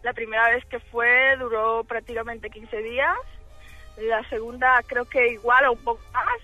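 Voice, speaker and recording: A woman from the Dominican Republic talks casually on a radio call. Her voice is high and very sharp, squeezed through a narrow phone line. Faint music plays behind her. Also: steady tone, normal speed. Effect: telephone. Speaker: radio caller. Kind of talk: casual personal storytelling. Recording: tinny band-limited phone line.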